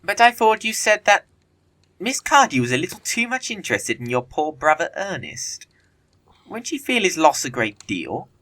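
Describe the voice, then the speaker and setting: Guy doing a teasing young dandy, arch and witty, bright voice that swings up and down, audio play. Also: fairly high pitch, very animated, moderate pace. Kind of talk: theatrical comedy of manners audio play.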